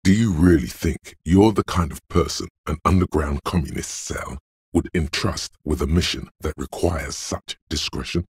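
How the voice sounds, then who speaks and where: A man voicing a cool, sardonic inner voice of logic in a video game, with measured, deliberate, dry and analytical narration. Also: a bass voice, darkish tone, slightly rough, dry recording, full character voice.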